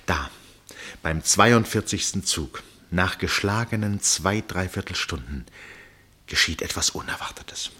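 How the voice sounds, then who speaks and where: An actor playing an absorbed first-person narrator in a literary radio play, measured and suspenseful, with deliberate pauses and a husky voice. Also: male voice, deep voice, lively intonation, sharp tone, full character voice. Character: absorbed male first-person narrator watching a tense match.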